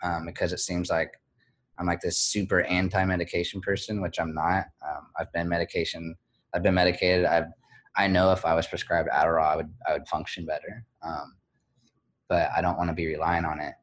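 A man speaking as an interview guest, candid and reflective, pausing often with fillers and restarts. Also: deep voice, flat delivery. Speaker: guest, blogger.

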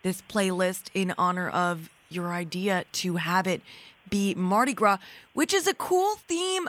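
A woman hosting a radio interview who speaks warmly and pays compliments, with many fillers and restarts. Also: mid-range voice, no room sound. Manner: warm, complimentary, with frequent fillers. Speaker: radio host. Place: radio studio.

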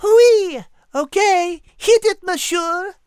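A woman's voice: very high voice, darkish tone. A woman voicing a perky, bossy female cat with a French accent in a children's audio drama. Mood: chirpy. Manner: brisk, commanding, peppers talk with French words. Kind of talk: scripted character acting for children's podcast.

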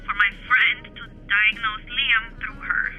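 A woman's voice: on the high side, steady tone, takes it slowly. A woman playing a playful, reassuring bilingual friend in an audio drama, heard through a call filter. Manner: slips between English and Spanish. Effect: computer call filter. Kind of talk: naturalistic audio drama acting. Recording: dull call audio with music behind.

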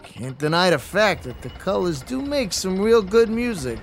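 A man's voice: high voice, very animated, normal speed, creaky, faint music behind, full character voice. An actor playing a callous, wisecracking gangster henchman in a period radio drama. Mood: sly.

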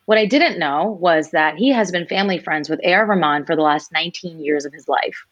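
A woman in her twenties shares her story as an interview guest, her voice sitting a little low. She's animated and slips into quoted voices for other people. The sound is dry and close, with no room around it. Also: a little fast, dry recording, highs cut off. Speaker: singer guest.

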